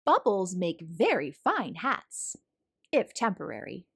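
A woman reading a children's picture book aloud as a storytelling host, in an expressive manner for young listeners. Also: on the low side, takes it slowly. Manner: animated, clearly paced storytelling for young children.

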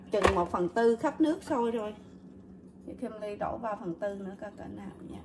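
A woman's voice: mid-range voice, noisy background. A woman with a Southern Vietnamese accent talks viewers through a recipe step by step, easy and conversational. Her voice sits in the middle of the range. Clinking dishes and utensils can be heard plainly around her in a home kitchen. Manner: chatty, addresses viewers directly, step-by-step. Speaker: cooking vlogger. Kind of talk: casual kitchen demonstration.

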